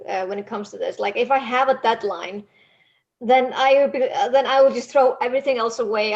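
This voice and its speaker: Icelandic woman, laughing and rambling. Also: fairly high pitch. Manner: rambling, self-mocking, frequent laughter. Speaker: podcast guest, author. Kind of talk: casual interview chat. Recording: dull lo-fi call audio.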